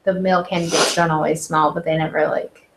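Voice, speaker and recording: A woman's voice: low-pitched, flat delivery, fast talker. A woman student chiming into a class discussion, casual and hesitant with "like" and "I mean". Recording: echoey classroom with light noise.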